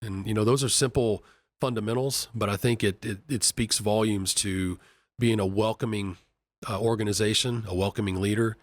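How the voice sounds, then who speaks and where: A man's voice: fairly low pitch, fairly even intonation, moderate pace, no room sound. A man from Kentucky, an executive, talking casually and earnestly in a podcast interview, often restarting and repeating words. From Kentucky, USA. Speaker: company executive vice president. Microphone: studio podcast mic.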